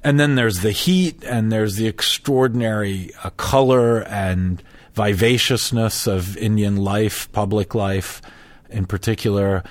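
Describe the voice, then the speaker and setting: Sociologist from Switzerland with a very low voice, explaining at length in a dry, close recording. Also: male voice, unhurried, no echo. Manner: thoughtful, explanatory, with fillers. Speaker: sociologist guest. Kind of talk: interview answers.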